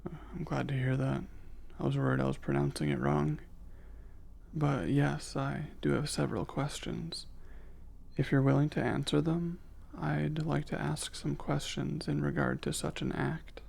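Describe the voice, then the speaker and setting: A man playing a curious, reserved male alien in an ASMR roleplay, speaking softly in formal, precise and hesitant wording. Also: a little high, flat delivery, a little slow, creaky, exaggerated voice. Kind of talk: ASMR roleplay, soft intimate delivery.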